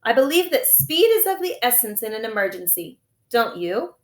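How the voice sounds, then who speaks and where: A woman voicing a brisk, formal, self-important male doctor from a storybook. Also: fairly high pitch, big pitch swings. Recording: echoey room.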